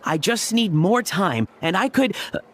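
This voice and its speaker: A man's voice: sits high, expressive, measured pace, fairly bright tone, full character voice. A man voicing an earnest, studious ruins researcher in a video game, speaking politely and eager to explain. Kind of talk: scripted video game voice acting. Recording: music behind the voice.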